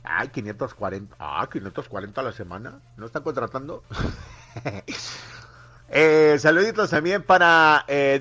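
A man's voice: fairly high pitch, pushed and bright, highs cut off. A man hosting a morning radio show, reading listener messages aloud and teasing his co-host playfully. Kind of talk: joking morning radio banter.

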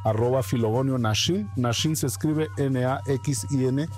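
Mellow-voiced Mexican painter, calm. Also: male voice, mid-range voice, faint music behind. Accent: Mexican Spanish. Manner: calm, unhurried, many fillers. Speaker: guest painter. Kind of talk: radio interview.

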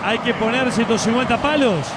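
A man's voice: very high-pitched, fairly quick. A man with an Argentine accent offering conversational football commentary on live radio. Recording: very loud crowd and stadium noise.

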